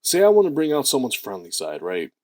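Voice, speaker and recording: A man with a deep voice giving a motivational talk as a self-improvement host, animated, stressing key words and drawing out phrases. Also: brisk, no room sound, clean studio sound.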